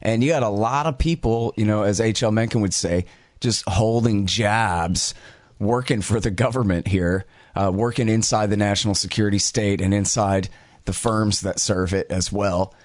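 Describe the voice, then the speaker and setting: A man hosting a talk show, chatting loosely with fillers and quick asides. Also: lowish voice, no echo. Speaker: podcast host. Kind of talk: casual interview talk.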